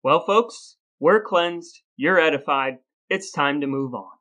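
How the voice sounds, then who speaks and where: A man's voice: on the high side, expressive, slow pace, bright, forceful tone, lo-fi sound. Man hosting a podcast, playfully grandiose. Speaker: podcast host. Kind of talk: scripted storytelling and conversational explaining.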